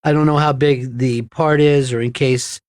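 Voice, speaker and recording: A man's voice: medium pitch, steady tone, darkish tone, clean tone, no echo. Male radio host, mid-range voice, meandering anecdote delivery, dry studio sound. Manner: rambling storyteller, halting restarts. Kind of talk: casual radio chat.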